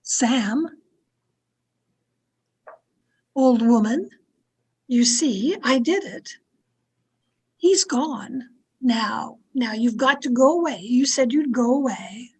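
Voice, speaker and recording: A woman playing a fearful, obedient wife in an old-time radio horror drama, with tremulous, halting, melodramatic delivery. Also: fairly high pitch, slightly rough. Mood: anxious. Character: frightened, obedient wife driven to murder.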